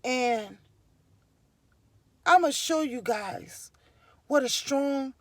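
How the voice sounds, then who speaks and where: A woman's voice: high-pitched, lively intonation, drawn-out words, no echo. A woman from Liberia with a Liberian accent, telling her own story to camera in an emphatic, repetitive, preaching way. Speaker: vlogger. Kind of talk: casual personal storytelling to camera.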